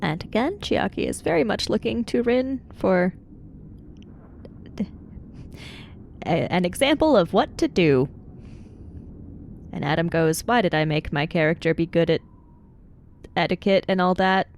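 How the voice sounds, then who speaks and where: Creaky, low-key woman roleplaying at a table. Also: expressive, measured pace, long pauses, a little mellow, slight background noise. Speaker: player in a tabletop roleplaying game. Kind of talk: casual third-person narration of own character.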